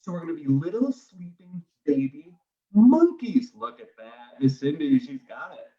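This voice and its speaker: A man with a fairly high, lively voice talks to little children as a guest musician. He explains things simply and encouragingly at an unhurried pace. The sound is a bit dull and distant. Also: reverberant. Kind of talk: children's singalong and friendly explaining. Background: acoustic guitar.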